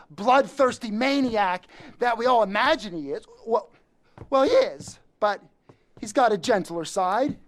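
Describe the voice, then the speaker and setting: An actor voicing a jittery, rambling male drug-dealer accomplice who wisecracks nervously in a game cutscene. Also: very high voice. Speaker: nervous accomplice in a crime crew.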